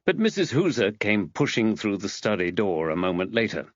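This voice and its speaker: A man's voice: on the low side, big pitch swings, moderate pace, no room sound, dull audio. A man narrating a mystery audiobook from a script.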